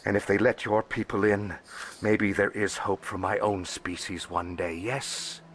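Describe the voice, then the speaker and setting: An actor playing a shrewd, cautious alien information broker in a video game, measured and knowing. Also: male voice, on the low side, level tone, a little hiss or hum, lightly acted. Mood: shrewd, guarded. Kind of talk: video game character dialogue.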